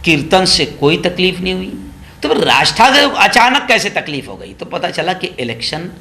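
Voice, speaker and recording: A man's voice: high-pitched, big pitch swings, normal speed, sharp tone. A man giving opinionated political news commentary, sarcastic and mocking, repeating phrases for emphasis.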